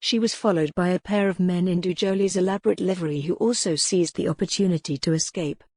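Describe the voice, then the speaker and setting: Woman reading a historical romance audiobook, lowish voice, dry close sound, scripted storytelling. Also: fairly even intonation, fast, darkish tone, no room sound. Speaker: audiobook narrator.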